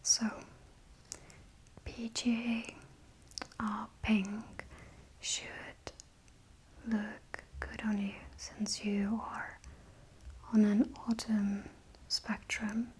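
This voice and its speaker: A woman speaking in a breathy whisper, gently explaining each step of a styling session to a client as unscripted ASMR. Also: mid-range voice, flat delivery, extremely slow, pauses often, a little hiss or hum. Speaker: makeup and colour analysis stylist.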